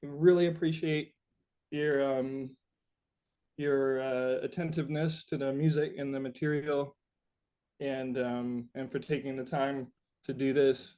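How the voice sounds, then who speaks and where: A man's voice: mid-range voice, flat delivery, measured pace, dark tone. A man being interviewed as a musician, chatting casually and reflectively, searching for his words with informal, sweary phrasing.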